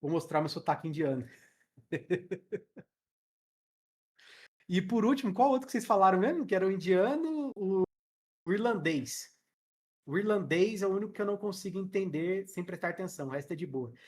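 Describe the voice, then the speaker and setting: A man's voice: high-pitched, expressive, moderate pace, pauses often, darkish tone. A Brazilian man teaching English in a casual live online class, chatty and joking with slang, speaking into a headset. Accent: Brazilian Portuguese.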